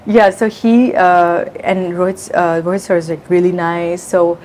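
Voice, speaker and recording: A woman's voice: lowish voice, flat delivery, measured pace, darkish tone. Brief: young woman from New Zealand, chatty interview guest, loose and candid, faint room hiss. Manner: chatty, lots of fillers and quoted asides. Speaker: interview guest, content creator.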